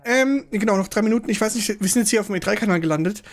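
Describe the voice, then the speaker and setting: Fast-talking streamer chatting over a show. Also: male voice, sits high. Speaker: streamer watching a live showcase. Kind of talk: casual live-stream commentary.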